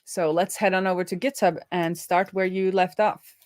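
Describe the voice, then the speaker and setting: A woman's voice: on the low side, steady tone, moderate pace, no echo, clean studio sound. A woman hosting a coding livestream, chatting casually and thinking aloud as she works.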